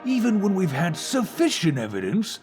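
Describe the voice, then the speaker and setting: An actor playing a serious, measured police chief in an audio drama, briefing officers in a grave, deliberate way. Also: male voice, high-pitched, huge pitch swings, darkish tone, faint music behind.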